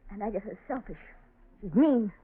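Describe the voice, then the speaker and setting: A woman's voice: medium pitch, expressive, normal speed, background noise, natural voice. An actress playing a spoiled, defiant, self-pitying young farm woman with hot-tempered outbursts, in 1940s radio drama style. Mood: petulant, angry. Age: young adult. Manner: petulant, emphatic outbursts. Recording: muffled old 1940s radio recording.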